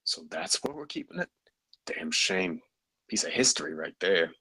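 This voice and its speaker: Gruff, very low husky actor as weary designer. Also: male voice, big pitch swings, normal speed, sharp tone, no room sound, exaggerated voice, rough recording. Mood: wry, rueful. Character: gruff, wry, exasperated male theme-park designer, loyal colleague. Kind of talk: live screenplay table read.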